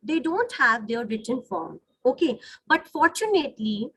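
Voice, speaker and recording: A woman's voice: on the high side, very animated, moderate pace, fairly bright tone, breathy edge, no room sound. A woman with an Indian accent, a native Hindi speaker, teaching an online lesson in a didactic way, rephrasing points and checking in with her listeners. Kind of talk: online lesson, explaining to students. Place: online video call.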